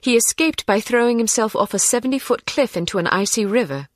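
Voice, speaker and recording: An actress playing a determined, sharp-tongued young British spy in a video game, speaking in a dry, articulate way. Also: female voice, a little high, fairly quick, no echo, lightly acted. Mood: matter-of-fact briefing.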